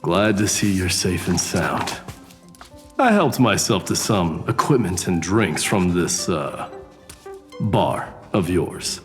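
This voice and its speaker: A man voicing a relaxed, wry traveler in a game. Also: low voice, takes it slowly. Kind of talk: game voice acting. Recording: long hall reverb. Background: soft music.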